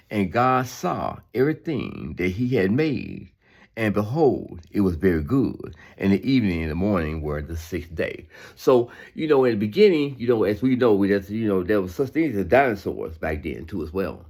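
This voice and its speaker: A man's voice: on the low side, sing-song, moderate pace, gravelly, a little mellow. A man reading scripture aloud with informal sermon remarks, emphatic and repetitive, with conversational asides that seek agreement. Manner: emphatic, repeats phrases for emphasis. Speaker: Bible reader giving commentary.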